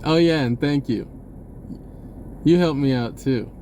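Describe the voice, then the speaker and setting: A man talking casually in a rambling monologue, halting and pausing mid-sentence as he goes. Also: mid-range voice, mellow, slight background noise, poor audio. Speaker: vlogger.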